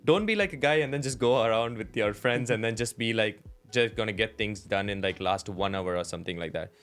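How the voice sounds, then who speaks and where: A man's voice: on the low side, brisk, fairly bright tone. A man co-hosting a podcast, giving advice in a confident, conversational way with frequent "right?" tags. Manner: confident, leans on "right?" and "you know". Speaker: podcast co-host. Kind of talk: casual advice chat.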